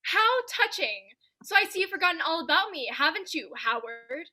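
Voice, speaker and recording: Soap parody rival wife played by a woman: extremely high, cutting voice, haughty, melodramatic, wronged. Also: expressive, moderate pace, pushed and bright, heavily acted. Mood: mocking. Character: scorned soap-opera wife, imperious, theatrical, melodramatic. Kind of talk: radio play soap opera parody.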